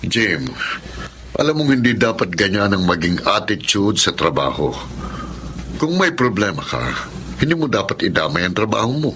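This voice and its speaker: A man's voice: lowish voice, big pitch swings, normal speed, fairly bright tone, a little hiss or hum. An actor playing a firm but concerned middle-aged father in a radio drama. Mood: admonishing.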